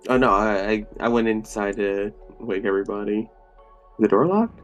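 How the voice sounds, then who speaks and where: A man's voice: lowish voice, normal speed, soft background music, low-quality recording. A man talking casually as a player in a tabletop game. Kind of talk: casual chat.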